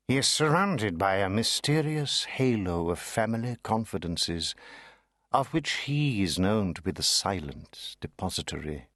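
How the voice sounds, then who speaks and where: A man narrating classic fiction as a dramatic audiobook, measured and savouring each phrase. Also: lowish voice, no echo, clean studio sound.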